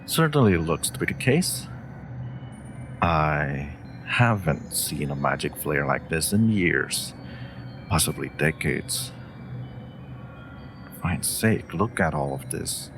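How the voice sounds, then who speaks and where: A man voicing a clever, haughty wolf familiar in a scripted fantasy audio roleplay, speaking in a dry, smug, sharp-tongued manner. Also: a bass voice, sing-song, slow pace, long pauses, over music, heavily acted. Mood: exasperated.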